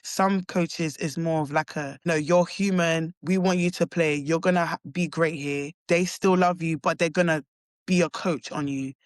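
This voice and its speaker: A young woman with a London accent answers interview questions in a loose, halting way, stacking up likes and restarts as she goes. Her voice sits close and dry, with no room sound around it. She keeps the tone of a casual chat. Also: deep voice, steady tone, no room sound. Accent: London English. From London, England. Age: young adult. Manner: hesitant, full of likes and restarts. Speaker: college basketball player guest.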